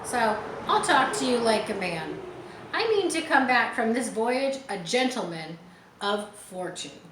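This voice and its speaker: A woman's voice: a little high, big pitch swings, unhurried. A woman voicing a scheming, ruthless male pirate ringleader for a storybook, in a confiding, conspiratorial manner. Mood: chummy, confiding. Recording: echoey room with faint background noise.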